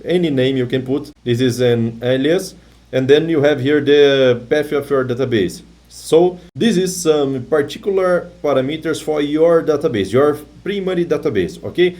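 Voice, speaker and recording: Man, English, mellow voice, walks through a tech tutorial, keeps asking "okay?". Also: on the high side. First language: Portuguese. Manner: halting non-native English, tags sentences with "okay?". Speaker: software tutorial presenter. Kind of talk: screen-recorded tech tutorial.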